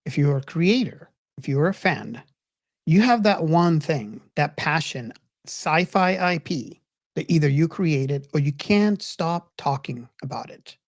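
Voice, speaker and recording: A woman's voice: sits low, lively intonation, measured pace, no echo, clean studio sound. A woman hosting a podcast in a casual, enthusiastic and earnest way, often repeating and restarting her words. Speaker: podcast host.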